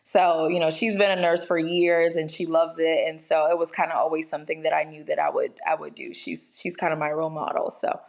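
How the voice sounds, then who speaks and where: Woman in her 20s, from Ghana, nurse guest, chatty, filler-heavy, lowish voice. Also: steady tone, fairly quick, creaky, hardly any pauses. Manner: chatty, many "like" and "you know" fillers. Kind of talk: casual interview conversation.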